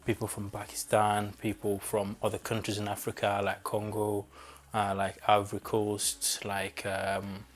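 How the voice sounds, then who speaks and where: A man's voice: fairly low pitch, completely flat delivery, measured pace. A young man from Rwanda who grew up in Belgium, answering interview questions reflectively and searching for words. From born in Rwanda, grew up in Belgium.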